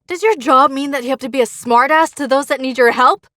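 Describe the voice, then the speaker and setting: A woman's voice: high-pitched, a little fast, pushed and bright, dry recording. A woman acting as a scared, bitter helpline caller in an audio drama, telling things in clipped, sardonic fragments.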